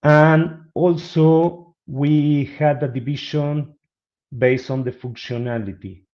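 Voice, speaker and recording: A man's voice: a little high, steady tone, slow, darkish tone. A middle-aged man from Argentina with an Argentine Spanish accent, presenting as a guest speaker in a webinar. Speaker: guest speaker, business analyst. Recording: dull lo-fi online call audio.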